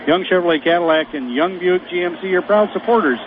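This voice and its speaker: A man with a Michigan accent, a radio color commentator reading local sponsor ads live, in a smooth, even delivery. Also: high voice, fast talker. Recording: tinny phone-line broadcast with noise.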